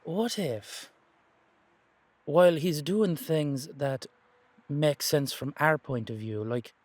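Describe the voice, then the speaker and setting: A man acting a shrewd, streetwise investigator in an audio drama, speaking deliberately and persuasively in halting, emphatic phrases. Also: a little high, no echo. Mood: musing, persuasive. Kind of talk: dramatic audio roleplay dialogue.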